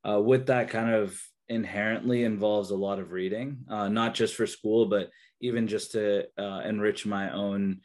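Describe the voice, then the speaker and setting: A man's voice: fairly low pitch, fairly even intonation, normal speed, a little mellow. A young man with Kannada as his first language, a guest on a video call interview, talking in a hesitant way full of fillers and restarts. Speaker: interview guest, researcher and therapist.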